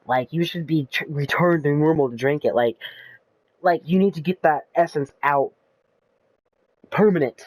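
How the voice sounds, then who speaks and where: A man chatting casually about a video game as a YouTuber, rambling and excitable with lots of ums and uhs and some swearing. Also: a little high, no room sound, rough recording. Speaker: gaming YouTuber.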